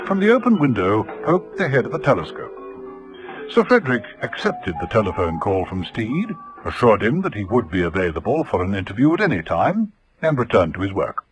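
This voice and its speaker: A man's voice: lowish voice, sing-song, brisk, rough recording. A man narrating a detective radio drama in scripted, dramatic storytelling style. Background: music.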